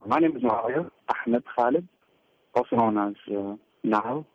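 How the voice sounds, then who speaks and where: A man's voice: mid-range voice, moderate pace, husky, no echo. A man in his twenties from Sudan, a musician guest talking in a hesitant way with many fillers. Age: 20s. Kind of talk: phone interview. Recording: dry tinny phone line.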